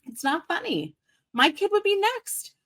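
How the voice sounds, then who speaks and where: A woman's voice: very high voice, wildly swooping pitch, a little slow, pushed and bright, no echo. A woman co-hosting a podcast in casual chat, with a wry, mock-indignant manner. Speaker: podcast co-host.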